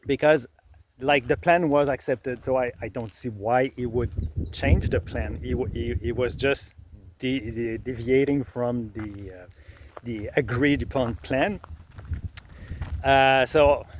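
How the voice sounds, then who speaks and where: A middle-aged man with a French accent talks unhurriedly, at a medium pitch, about how he works. His speech is hesitant and full of fillers, restarts and repeated words, as a native French speaker working in English. Faint noise sits beneath the recording. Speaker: creator sharing his process. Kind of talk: casual explanatory talk.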